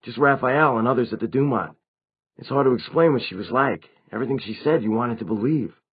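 Male narrator as teenage vampire, anxious, earnest, defensive, emphatic, raised pitch, slightly muffled audiobook recording. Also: a little fast, breathy edge, natural voice.

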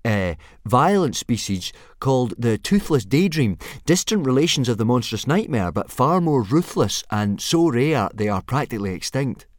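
A man's voice: medium pitch, sing-song, moderate pace, hardly any pauses, dry recording, studio quality, voice pushed up, close to an everyday voice. A man voicing a bookish Viking boy in a storybook, polite and pedantic, bluffing earnestly with slight hesitations.

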